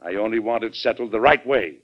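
An actor playing a hearty, forceful American president, emphatic and statesmanlike, in an old-time radio drama. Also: male voice, fairly low pitch, a little fast, natural voice. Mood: firm, forceful. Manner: emphatic, declamatory. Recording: dull vintage radio recording.

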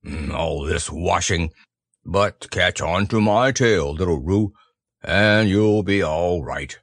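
Storybook donkey, male reader acting: gloomy, self-pitying, very slow, close dry sound. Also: on the low side, expressive, no room sound, pitched below the performer's own voice. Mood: grumbling, gloomy. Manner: slow, mournful, drawn-out delivery.

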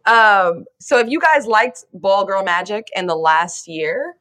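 A woman's voice: mid-range voice, measured pace, pushed and bright. A woman hosting a podcast, upbeat and chatty, asking her co-host questions in casual talk.

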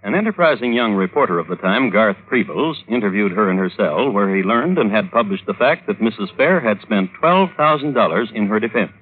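An actor playing a droll connoisseur of historical crimes, narrating wryly and deliberately in old-time radio style. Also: male voice, fairly low pitch, lively intonation, a little hiss or hum, natural voice. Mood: wry storytelling. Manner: wry, deliberate phrasing. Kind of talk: 1950s radio storytelling. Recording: old radio broadcast, tinny narrow band.

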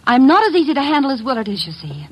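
An actress in her twenties playing a hot-tempered young woman in 1940s radio drama style, cold and clipped with a husky voice. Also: sits high, sing-song, fast talker, full character voice. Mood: menacing. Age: 20s.

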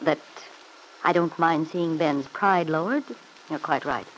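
An actress playing a sly, spiteful middle-aged mother-in-law in an old radio serial, insinuating and quick to justify herself. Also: deep voice, a bit bright. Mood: defensive, spiteful. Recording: noisy old radio broadcast recording.

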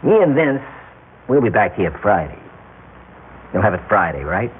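An actor in a classic radio drama playing a smug, falsely genial, patronizing crime syndicate boss. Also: male voice, lowish voice, sing-song, moderate pace. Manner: patronizing, mock-friendly. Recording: tinny, noisy old radio broadcast.

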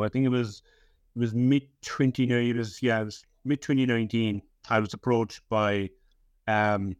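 A man's voice: lowish voice, smooth, dry recording. A man in his thirties with a Cork Irish accent, talking casually as a guest, often repeating words and restarting. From Ireland (West Cork). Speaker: historian, guest author. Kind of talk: casual interview chat.

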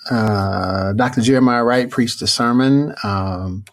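A man, a preacher and author, answering interview questions in a reflective, unhurried way with many fillers and restarts; his voice is neither booming nor deep.

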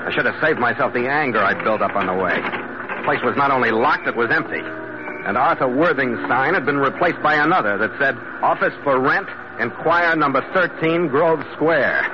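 A man's voice: mid-range voice, normal speed, over music, natural voice. A man acting in an old-time radio adventure, playing a tough, wry sea captain who narrates in a blunt, clipped way. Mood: wry narration. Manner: hard-boiled, blunt, clipped. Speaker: lead actor and first-person narrator. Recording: noisy, muffled vintage radio recording.

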